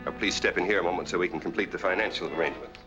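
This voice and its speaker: An actor playing a pompous, defensive sanatorium doctor in a formal, officious 1940s film manner. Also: male voice, deep voice, steady tone, very fast, close to an everyday voice. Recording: dull old film soundtrack with music.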